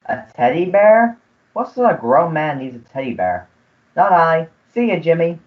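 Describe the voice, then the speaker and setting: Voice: medium pitch, sing-song, moderate pace, close to an everyday voice. A performer playing a cheeky, shifty servant disguised as a humble letter boy, with servile, ungrammatical speech in a melodramatic radio play. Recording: muffled, phone-like school radio play recording.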